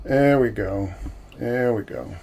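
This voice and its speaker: A man hosting a livestream in casual chat, a self-described loudmouth with a big loud voice who jokes and greets viewers by name. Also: mid-range voice, slow pace, vocal fry, slight background noise. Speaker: livestream host.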